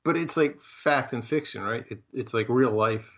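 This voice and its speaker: A man's voice: mid-range voice, normal speed, a bit bright, muffled recording. A man chatting casually and informally as a host. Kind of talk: casual chat.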